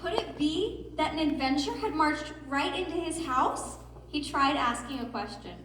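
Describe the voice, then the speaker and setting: A woman's voice: sits high, animated, moderate pace. A woman giving scripted story narration in a readers theatre. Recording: distant mic, echoey hall, noisy.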